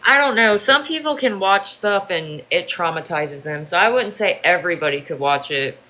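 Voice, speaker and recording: Streamer from Ohio, bright sharp tone, rambling and repetitive, swears freely, casual chat with viewers. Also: medium pitch, animated, moderate pace. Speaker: livestreamer answering chat.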